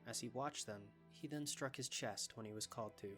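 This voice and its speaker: A man's voice: medium pitch, brisk, over music. A man narrating a scripted fantasy story for an anime fan dub.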